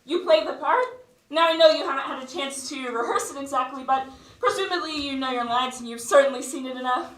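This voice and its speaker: An echoey recording from far back in a theatre. A young woman plays an impatient stage manager with a very high, bright, forceful voice, projecting orders in a commanding way. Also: fairly quick. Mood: urgent, impatient. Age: teens. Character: brisk, bossy, impatient female stage manager. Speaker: student actor. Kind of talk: stage play acting.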